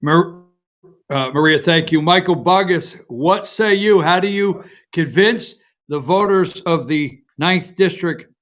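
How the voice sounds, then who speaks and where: Moderator, man, quite high pitched voice, direct questions. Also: a bit bright. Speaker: debate moderator. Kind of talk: moderating a political debate.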